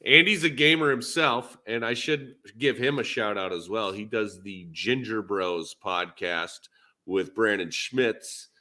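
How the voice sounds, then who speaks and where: A man with a fairly deep voice and a bright, forceful edge hosts a casual livestream podcast. He talks shop in a laid-back way, halting often as he thinks out loud. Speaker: podcast host.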